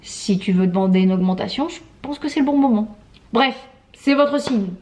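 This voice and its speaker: A woman's voice: mid-range voice, normal speed, a little mellow. A woman in her thirties from France, chatting casually and emphatically to camera in a year-review vlog. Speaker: vlogger.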